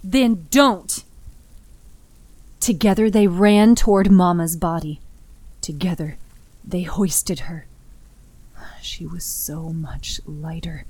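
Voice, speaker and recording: A woman narrating and hosting her own scripted fiction podcast, with expressive storytelling delivery. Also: fairly low pitch, takes it slowly, no room sound. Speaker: writer, narrator and podcast host. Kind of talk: dramatic scripted story narration and host's outro.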